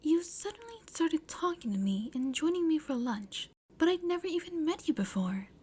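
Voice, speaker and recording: A woman voicing a polite teenage schoolgirl in a fan fiction reading, flustered and hesitant, with halting, often breathy delivery. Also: high voice, very animated, darkish tone, slight background noise, pitched above the performer's own voice. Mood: gently puzzled.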